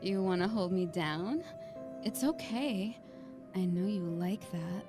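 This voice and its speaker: An unhinged, seductive woman played by an actress with a mid-pitched, languid delivery. She drags her words out coyly and teasingly in this game scene, with music behind. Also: animated, a little slow, natural voice. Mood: flirty, teasing.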